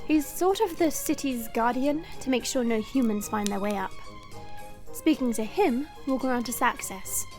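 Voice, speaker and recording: A woman's voice: fairly high pitch, normal speed, a little mellow, close to an everyday voice. A woman playing a haughty dragon princess in human form, speaking formally and imperiously in an audio drama. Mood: businesslike. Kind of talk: audio roleplay drama acting. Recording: music under the voice. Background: background music.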